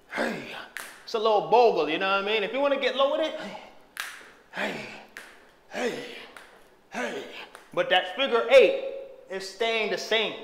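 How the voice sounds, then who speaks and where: A man's voice: high voice, reverberant, in a large hall, a little hiss or hum. A young man teaching dance moves, counting beats and calling out steps with energy while he dances, in a bare empty room. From Haitian background. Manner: energetic, hypes and shouts while dancing. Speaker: dance instructor.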